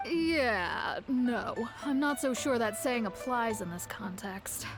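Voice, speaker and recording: A woman's voice: a little high, expressive, slow, music in the background. A woman playing a firm, exasperated guild official in a video game, speaking in a curt, businesslike, dismissive way. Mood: weary, firm. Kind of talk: video game character acting.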